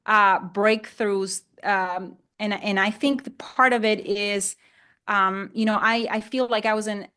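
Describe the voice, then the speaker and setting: A woman's voice: medium pitch, fairly even intonation, unhurried, pushed and bright, clear, resonant tone. A middle-aged woman from Honduras, a native Spanish speaker, talking conversationally as an interview guest and often repeating and rephrasing herself. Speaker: podcast guest, land investor.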